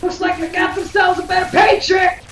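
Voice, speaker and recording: A woman's voice: very high voice, level tone, moderate pace, roomy sound, background noise. A woman playing a brash, cocky mercenary soldier in an audio drama, boastful and flippant in manner. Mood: urgent.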